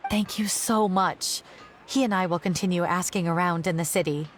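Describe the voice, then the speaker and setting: A woman's voice: fairly low pitch, normal speed, a little hiss or hum, close to an everyday voice. Woman playing a worried, polite townswoman. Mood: worried, grateful. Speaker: video game character voice. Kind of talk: scripted game dialogue.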